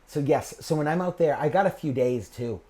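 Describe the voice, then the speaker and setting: A man in his forties from Maine hosting a podcast, chatting casually in a rambling way that trails off softly, with frequent laughs. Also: a little high, fairly quick. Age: 40s. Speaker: podcast host. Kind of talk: casual interview chat.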